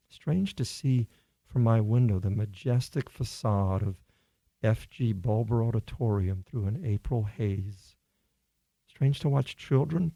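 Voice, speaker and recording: An actor playing a shaken, reflective professor who survived an invasion, narrating a radio drama in a halting, theatrical way. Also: male voice, medium pitch, gravelly, dark tone, no echo. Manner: halting, stammering repeats, theatrical pauses. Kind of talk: dramatic first-person radio play narration.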